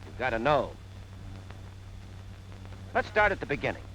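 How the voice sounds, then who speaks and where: A man's voice: medium pitch, big pitch swings, a little slow, pushed and bright. An American man gives plain-spoken, punchy instruction in the style of a 1940s military training film narration. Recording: noisy 1940s film soundtrack.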